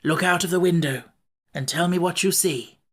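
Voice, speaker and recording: A woman voicing a calm, wise male scarecrow who speaks quietly and thoughtfully. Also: fairly low pitch, normal speed, lightly acted.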